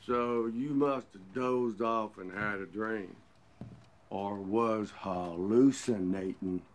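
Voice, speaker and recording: An actor playing a domineering, contemptuous working-class husband in a film drama, threatening and folksy. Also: male voice, fairly low pitch, slow pace, slight background noise. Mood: scornful denial. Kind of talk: tense film drama acting.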